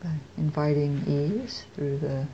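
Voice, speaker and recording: American woman, guided meditation, low-pitched, soft delivery, long pauses, muffled recording with faint rumbling noise. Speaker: meditation teacher.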